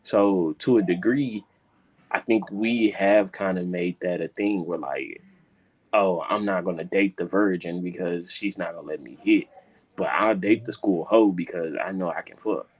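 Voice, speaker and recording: Deep raspy guy around thirty, blunt and crude, muffled call audio. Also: moderate pace. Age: 30s. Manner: blunt, crude, stumbling restarts. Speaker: panel guest. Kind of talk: casual discussion.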